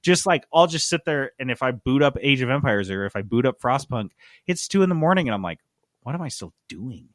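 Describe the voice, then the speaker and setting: A man's voice: medium pitch, big pitch swings, a little fast, no echo, clean studio sound. A man hosting a casual podcast chat, talking informally and jokily with plenty of slang. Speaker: podcast host. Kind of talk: casual chat about games and candy.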